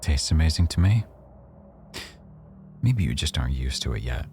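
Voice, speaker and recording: A man playing a rough-edged mafia boss who owns a cafe, teasing, probing and quietly threatening, in a boyfriend ASMR roleplay. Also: a bass voice, very animated, normal speed, slight background noise. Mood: playful, proud. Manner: teasing, probing, smugly self-assured.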